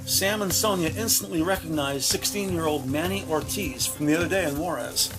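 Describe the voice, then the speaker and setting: A man giving measured, descriptive present-tense narration for a scripted drama. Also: on the high side, over music.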